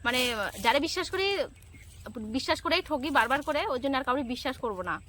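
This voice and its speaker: A woman's voice: high voice, lively intonation, fast talker, a bit bright. A woman talking informally in an accusing, reproachful way, as a vlogger making a prank call. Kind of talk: informal phone argument in a staged prank.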